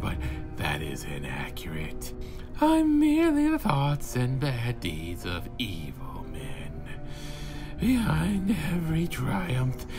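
A man's voice: fairly high pitch, exaggerated voice. A man voicing a sinister boogeyman in a menacing, partly whispered horror monologue. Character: sinister boogeyman lurking in dreams and darkness.

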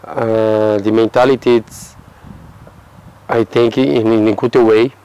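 Slow, low Brazilian man in halting English. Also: little pitch movement, dark tone, clear, resonant tone, poor audio. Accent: Brazilian Portuguese. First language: Portuguese. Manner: halting non-native English, many restarts. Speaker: football player. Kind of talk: interview answers. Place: outdoor terrace by a yellow building.

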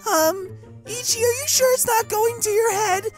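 A man voicing an excitable, sweet, naive young dragon head in a cartoon comic dub. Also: very high-pitched, moderate pace, soft background music, voice pushed up. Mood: puzzled.

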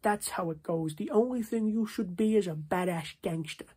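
A young man voicing a stern, scolding New Jersey mob boss in a hushed, husky ASMR roleplay. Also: high voice, moderate pace. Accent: put-on New Jersey mobster. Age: 20s. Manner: repetitive, slangy, stern with checking tags. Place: small domestic room, indoors.

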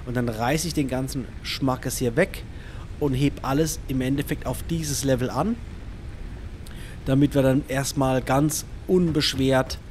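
A man's voice: mid-range voice, a little mellow. A man with an ordinary mid-range voice talks his way through a video game session. He thinks aloud, counts things off and chats loosely to himself and his viewers. A faint background hiss runs under the recording. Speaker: let's play host. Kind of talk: casual gaming commentary.

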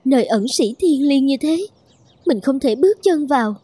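A woman's voice: high voice, lively intonation, normal speed, warm tone. A woman voicing a humble, downtrodden young courtesan in a fairy-tale cartoon, plaintive and self-reproaching. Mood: sorrowful.

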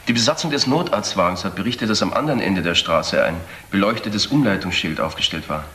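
Brisk, quick-talking police detective. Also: male voice, low-pitched, lively intonation, sharp tone. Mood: businesslike. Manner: businesslike, factual. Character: brisk, factual male junior police detective. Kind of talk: German crime radio drama acting.

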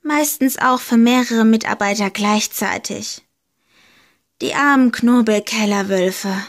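A woman reading a short homemade fairy tale aloud, slow and deliberate, leaning gently into key words. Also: fairly high pitch. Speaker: storyteller. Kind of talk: slow storybook narration.

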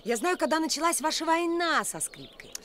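Young provincial woman, very high lively voice, impulsive, teasing, mocking, radio drama. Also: fairly quick, slight background noise. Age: young adult. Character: capricious, teasing, bored young provincial merchant's daughter. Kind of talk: radio play acting.

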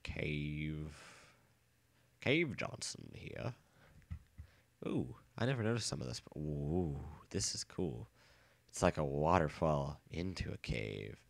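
A young man with a bad cold and a nasal, stuffy voice, chatting casually and rambling excitedly while playing a game. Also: sits low, creaky, no room sound. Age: young adult. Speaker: let's play gamer. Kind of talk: casual gameplay commentary. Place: dorm room.

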